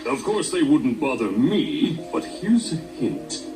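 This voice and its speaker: A man voicing a gentle elder dragon giving gameplay hints. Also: a little high, sing-song, slow. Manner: gentle, advising. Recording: echoey distant game audio with music.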